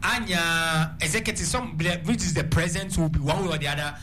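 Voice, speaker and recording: Halting Ghanaian man, high voice. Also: sharp tone. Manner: halting, restarts and asks "what do I say". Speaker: guest commentator. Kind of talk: call-in radio discussion.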